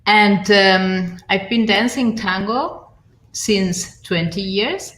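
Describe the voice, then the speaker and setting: A woman from Argentina, introducing herself as a panel guest in an unhurried way, with fillers. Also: mid-range voice, fairly bright tone. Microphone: video call. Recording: echoey room on a video call.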